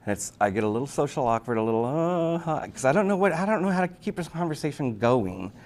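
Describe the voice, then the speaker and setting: A man telling anecdotes as an interview guest, chatty, animated and self-deprecating. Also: fairly high pitch, fast talker. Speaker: interview guest, actor and writer. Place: busy convention event room. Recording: clearly noisy live event room recording.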